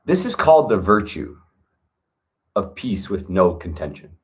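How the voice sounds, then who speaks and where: A man with a fairly low voice reads slow, solemn verses aloud. He speaks in a measured, earnest way and leans into key words for emphasis. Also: very animated. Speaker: reader of a classic text. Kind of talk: solemn reading aloud with asides.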